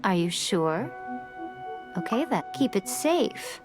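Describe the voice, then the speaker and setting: A woman's voice: medium pitch, sing-song, slow, over music. A woman playing a sly, self-assured, elegant shrine guardian in a video game, composed and teasing with formal phrasing.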